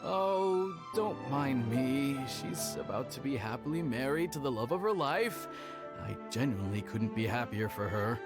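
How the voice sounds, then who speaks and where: A man's voice: mid-range voice, lively intonation, measured pace. A man playing an earnest, dutiful young retainer in a video game, polite and hesitant with an anxious edge. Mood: flustered. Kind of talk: video game character acting. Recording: background music under the voice.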